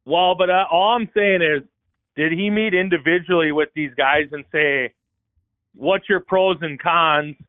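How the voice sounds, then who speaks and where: Caller guy on a thin phone line, dry sound, kinda higher voice, walks through his reasoning. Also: unhurried, smooth, no room sound. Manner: reasoned, lays out his argument step by step. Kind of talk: casual call-in chat.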